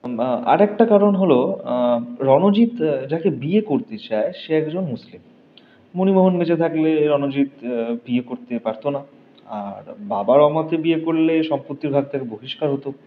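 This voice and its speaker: A man's voice: a little high, moderate pace, pauses often, lightly acted. A man playing an earnest, puzzled younger brother who assists a detective, hesitantly thinking aloud. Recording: dull recording with faint noise.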